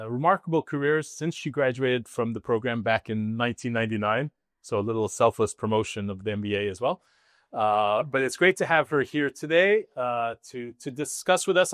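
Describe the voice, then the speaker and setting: A man hosting a live discussion session in a halting, semi-prepared way. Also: medium pitch, dry recording, clean studio sound. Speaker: host, business school dean.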